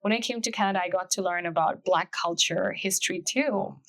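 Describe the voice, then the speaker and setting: A woman's voice: mid-range voice, fairly quick, fairly bright tone. A woman from Bangladesh, hosting a conversational interview in an effusive, affirming manner. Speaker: podcast host.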